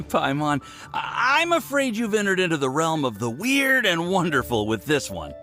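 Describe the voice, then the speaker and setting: A man's voice: fairly high pitch, very animated, a little slow, bright, forceful tone, slight background noise, exaggerated voice. A man playing a nervous, awkward but good-natured young alchemist in a video game, stammering and easily flustered. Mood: nervous, obliging.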